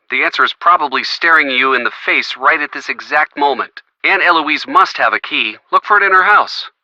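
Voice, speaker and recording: A man's voice: a little high, bright, forceful tone, dry recording. A man playing an affectionate, playful young boyfriend giving help in a video game phone call. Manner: playful, teasing.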